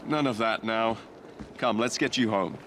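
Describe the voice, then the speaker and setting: An actor playing a cocky, impatient young Victorian adventurer in a video game, brusque and exasperated. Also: male voice, fairly low pitch, a little slow, very noisy, close to an everyday voice. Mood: dry, impatient. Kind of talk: video game cutscene acting.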